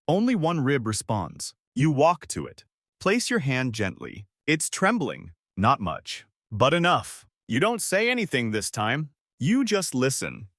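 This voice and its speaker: A man reads a scripted bedtime tale in a hushed, poetic way. His pitch moves widely from phrase to phrase, and each short line is set down deliberately. The recording is dry and close. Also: dry recording. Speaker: bedtime storyteller. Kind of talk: scripted second-person bedtime narration.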